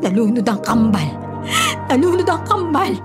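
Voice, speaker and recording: Urgent, high-voiced concerned neighbour. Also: female voice, very animated, normal speed. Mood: urgent reproach. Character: concerned female neighbour, reproachful yet sympathetic. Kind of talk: Filipino radio drama acting. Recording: dramatic music under the voice. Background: music.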